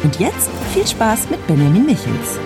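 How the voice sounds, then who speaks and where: A woman's voice: mid-range voice, huge pitch swings, moderate pace, creaky. A woman reading a polished, scripted intro for a podcast in an upbeat announcer style. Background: music bed.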